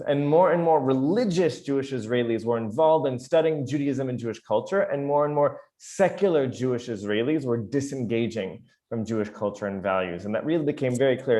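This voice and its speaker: Chicago guy in his 30s on a zoom call, relaxed chatty talk, lots of ums, jokes at himself. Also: mid-range voice. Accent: American, Chicago. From United States. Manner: chatty, many fillers, light self-deprecating humor. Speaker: guest speaker, educator. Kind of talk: casual talk over a video call. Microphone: video call.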